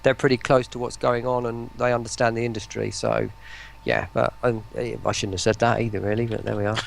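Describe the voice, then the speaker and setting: A man with a creaky, gravelly voice talks as a guest in a casual online interview. He rambles, cuts himself off and hunts for the right word as he goes. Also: medium pitch, little pitch movement, brisk, slight background noise. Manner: rambling, searching for words, self-interrupting. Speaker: voice pack producer, interview guest.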